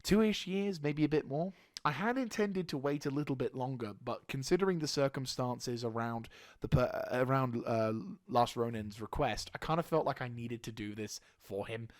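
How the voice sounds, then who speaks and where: Man, slightly hoarse, casual gaming channel update, halting restarts, mid-pitched, dry sound. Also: no echo. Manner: rambling, halting with frequent restarts. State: slightly hoarse, recovering throat. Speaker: gaming YouTuber.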